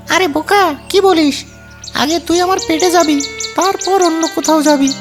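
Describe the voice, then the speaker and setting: A very high-pitched, gloating wicked fox. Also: music in the background. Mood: mocking. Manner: gloating, drawn-out words, cackling laughs. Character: wicked, gloating fox who devours baby birds. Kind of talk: children's cartoon character voice.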